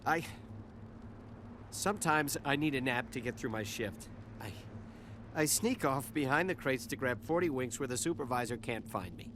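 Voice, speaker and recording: A man's voice: mid-range voice, lively intonation, normal speed, noisy background, natural voice. A man playing a cowardly, frightened dockworker who has survived an attack in a video game, stammering, nervous and pleading. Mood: sheepish. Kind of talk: cinematic sci-fi game dialogue. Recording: music under the voice.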